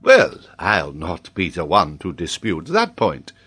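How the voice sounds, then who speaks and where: A man voicing an excitable, theatrical French geographer in a storybook, exclamatory and mock-admiring, drawing out his words. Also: low voice, takes it slowly, breathy edge, exaggerated voice. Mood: mock admiration.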